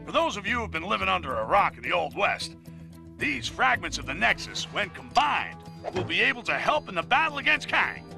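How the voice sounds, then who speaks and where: A man's voice: high voice, big pitch swings, a little fast, creaky, bright, forceful tone, faint music behind, heavily acted. An actor playing a blustering, self-important male newspaper editor giving a live news broadcast in a game cutscene. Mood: bombastic. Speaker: news anchor. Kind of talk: video game cutscene voice acting.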